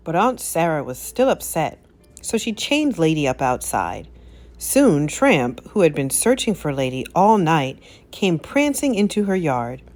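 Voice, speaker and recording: A woman narrating a children's story as an expressive read-aloud. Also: sits low, unhurried, soft background music.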